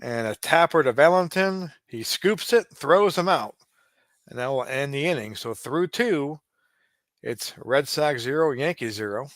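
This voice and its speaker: A man's voice: fairly high pitch, measured pace, no room sound, studio quality. Chatty man in his forties calling baseball. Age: 40s. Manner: relaxed, chatty, given to asides and small restarts. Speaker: game streamer narrating a simulated baseball game. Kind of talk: casual play-by-play commentary.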